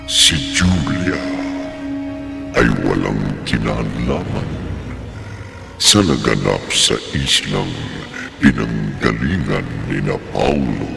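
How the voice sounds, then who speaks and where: Very deep gravelly Filipino man, slow. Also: lively intonation. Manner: slow, theatrical. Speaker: horror radio drama narrator. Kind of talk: ominous scripted narration. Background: music.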